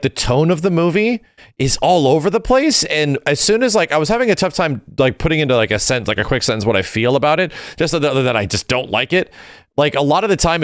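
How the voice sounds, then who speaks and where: A man's voice: a little high, no room sound, clean studio sound. A man co-hosting a podcast in casual, rambling, emphatic and opinionated movie chat. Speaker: podcast co-host.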